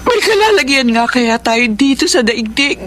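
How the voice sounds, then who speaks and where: A woman's voice: high voice, sing-song, moderate pace, fairly bright tone. An actress playing a poor, sorrowful mother in a Filipino radio drama, speaking in a plaintive, heartfelt way. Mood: lamenting. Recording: noisy radio drama with ambience.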